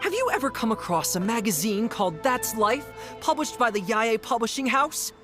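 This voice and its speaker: A woman's voice: on the high side, very animated, moderate pace. A woman voicing a fervent, gushing fan club leader in an anime video game, preaching theatrically. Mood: reverent, persuasive. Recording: faint game music behind.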